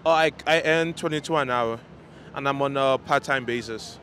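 A man's voice: medium pitch, normal speed, fairly bright tone, slight background noise. A nineteen-year-old man answering a street interview frankly and easily on a busy city street. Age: teens. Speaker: passerby. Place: busy downtown street outdoors.

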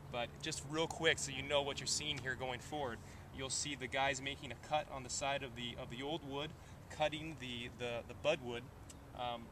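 A young man talks through a farming job outdoors in an easygoing, plainspoken way, with plenty of "uh" and "um". His voice sits at an ordinary middle pitch. Steady outdoor noise runs under the lapel-miked recording. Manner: relaxed, plainspoken, with frequent "uh" and "um". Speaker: vineyard owner presenting a field video. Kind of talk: casual instructional talk. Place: outdoors in a vineyard.

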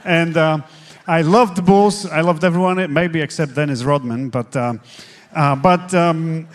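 Polish-accented man, 40s, higher voice, earnest, halting storyteller with fillers, handheld stage mic. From Poland. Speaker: guest pastor. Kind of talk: telling his faith story on stage. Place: church stage, seated in armchair.

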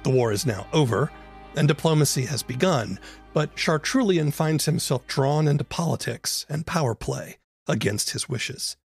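Gravelly, husky man hosting a podcast. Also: fairly high pitch, expressive, moderate pace, faint music behind. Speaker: podcast host and interviewer. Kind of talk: scripted introduction and conversational interview. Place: close, dry recording; remote interview.

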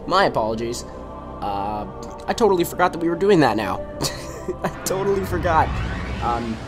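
A man's voice: a little high, big pitch swings, moderate pace, music in the background. A young man with a cold and a sore voice, rambling casually while commentating gameplay. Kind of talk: let's play commentary. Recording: voice over loud game audio.

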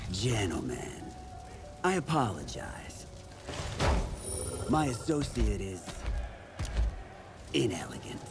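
An actor playing a courteous, menacing male villain, measured and formal, in a video game. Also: lowish voice, very animated, exaggerated voice. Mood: silky menace. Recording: loud music behind the voice.

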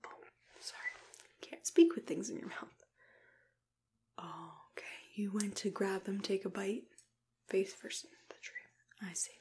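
A young woman whispering breathily and gently, reassuring the listener as she murmurs step-by-step in an ASMR dentist roleplay. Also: mid-range voice, steady tone, unhurried, long pauses. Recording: clear background noise with clicking tool sounds. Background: noise, clicks of dental tools.

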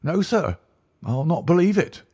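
A man's voice: fairly high pitch, sing-song, a little slow, mellow, slightly rough, voice pushed up, heavily acted. A man voicing a respectful male college servant who answers earnestly. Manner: earnest, deferential.

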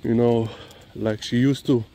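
Male vlogger, Slovenian accent, mid-pitched, very leisurely and rambling delivery, recorded outside on a walk. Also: steady tone, dark tone. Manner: hesitant, halting delivery with frequent "you know". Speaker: dog owner and vlogger. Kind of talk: casual walk-and-talk vlog, rambling. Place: outdoors, on a walk. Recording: clearly noisy outdoor ambience, vehicle sounds.

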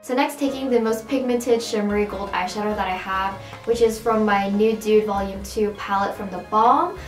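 A young woman with a raspy, strained voice chatting casually through a makeup tutorial at home. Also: medium pitch, steady tone, normal speed, reverberant, soft background music. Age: young adult. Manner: chatty, upbeat, casual with fillers. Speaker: beauty vlogger. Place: small room at home, indoors.

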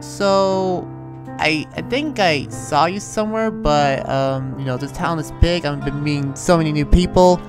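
A man playing a quirky, friendly show-off demon slayer in an improvised game roleplay, talking in a rambling, halting, self-aware way. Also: fairly high pitch, music in the background, natural voice. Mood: casual, awkward. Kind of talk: improvised Minecraft anime roleplay.

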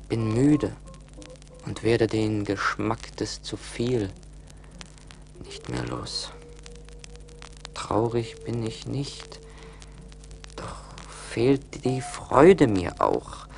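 An actor playing a rich, cold-hearted young man in a radio play, joyless, deliberate and self-important. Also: lowish voice, exaggerated voice, low-quality recording. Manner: brooding, deliberate, haughty.

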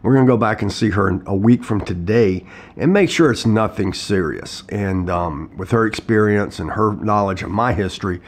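Hoarse middle-aged man talking plainly. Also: on the low side, moderate pace. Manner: plain-spoken, frequent ums and restarts. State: hoarse from a cold. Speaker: vlogger sharing his health story. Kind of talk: casual talk to camera. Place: living room at home, indoors.

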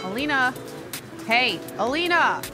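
A woman's voice: high-pitched, lively intonation, measured pace, music in the background. A woman playing an eager, friendly young nature enthusiast in an audio drama. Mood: eager, teasing.

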